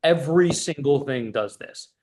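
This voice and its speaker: Blunt, higher-voiced man coaching casually. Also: normal speed, darkish tone. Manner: blunt, profane; emphatic, repetitive stop-start delivery. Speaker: business coach.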